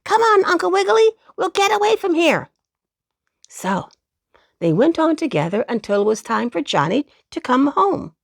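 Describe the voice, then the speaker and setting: Female storyteller for children's tales: high voice, very animated, unhurried, close dry sound. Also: creaky, dry recording. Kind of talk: expressive children's story narration.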